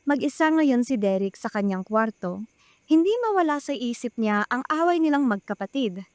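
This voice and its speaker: A woman's voice: fairly high pitch, animated, moderate pace, no room sound. A woman with a Filipino accent reading scripted narration for a radio drama.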